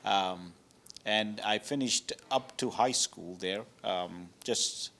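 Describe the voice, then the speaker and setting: A man's voice: fairly low pitch, takes it slowly, creaky, sharp tone. A man born in Zambia, a hotel owner, answering interview questions politely with many hesitations.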